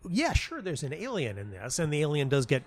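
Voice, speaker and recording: A man talking casually as an interview guest, telling anecdotes in an animated, emphatic way and often repeating himself for stress. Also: on the high side, brisk. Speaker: interview guest, playwright and audio dramatist.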